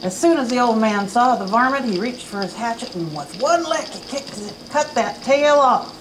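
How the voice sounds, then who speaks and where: A woman at a library event reading a children's story aloud with dramatic, expressive delivery. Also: on the high side, moderate pace, noisy background. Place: campus event room.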